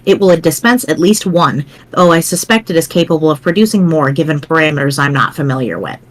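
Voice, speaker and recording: A woman voicing a pedantic, precise young explainer in a dramatic reading, formal, wordy and measured. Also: deep voice, lively intonation. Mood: dry, instructive.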